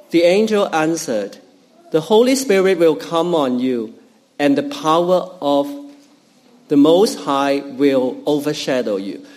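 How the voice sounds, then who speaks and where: Slow, careful Cantonese-native man reading. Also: a little high, darkish tone. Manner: halting, careful reading with fillers and restarts. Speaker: scripture reader. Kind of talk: Bible reading in church.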